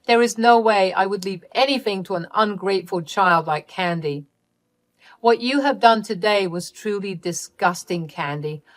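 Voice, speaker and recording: A woman narrator puts on a stern mother in a family drama story. She sounds betrayed and scolds with bitter sarcasm at a medium pitch. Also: normal speed, no room sound, close to an everyday voice. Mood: disgusted.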